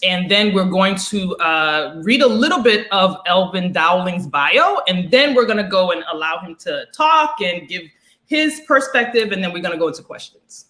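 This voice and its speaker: A woman's voice: on the low side, lively intonation, a bit bright. A woman co-hosting a political talk show, chatty and friendly, reading a bio aloud with some stumbles. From Haiti. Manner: chatty, says "honey", reads bio haltingly. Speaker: co-host.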